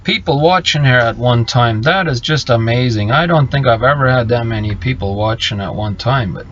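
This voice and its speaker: Halting Low man on a lo-fi live stream. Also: fairly bright tone. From Bolivia. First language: Low German. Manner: halting, many fillers and restarts. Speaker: trucker vlogger reading live chat. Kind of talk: casual live stream chat. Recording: slightly muffled lo-fi live stream.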